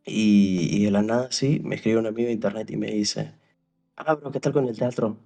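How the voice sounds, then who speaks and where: A man's voice: lowish voice, normal speed, warm tone, soft background music. A young man from Paraguay in casual conversation, telling a long story that wanders, with many restarts and "o sea", and acting out bits of dialogue. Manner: rambling, full of restarts and "o sea", re-enacts dialogue. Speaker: interview guest.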